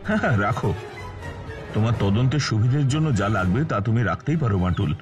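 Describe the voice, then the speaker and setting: A man's voice: medium pitch, animated, fast talker, close to an everyday voice. A man voicing a fretful, dramatic middle-aged householder in a cartoon, exclaiming and repeating himself theatrically. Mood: earnest, puzzled. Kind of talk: cartoon voice acting. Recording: music behind the voice.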